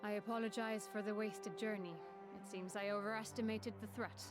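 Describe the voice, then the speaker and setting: An actress playing a composed, formal woman in a game cutscene, measured in speech and quietly caring. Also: medium pitch, little pitch movement, lightly acted. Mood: grave, formal. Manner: measured, formal, archaic wording. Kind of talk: dramatic video game cutscene acting. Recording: music behind the voice.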